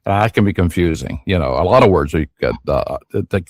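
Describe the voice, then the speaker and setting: A man's voice: low-pitched, lively intonation, fairly quick, no room sound, clean studio sound. A man hosting a podcast in a casual, upbeat and chatty way, often using fillers. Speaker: podcast host. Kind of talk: casual interview chat.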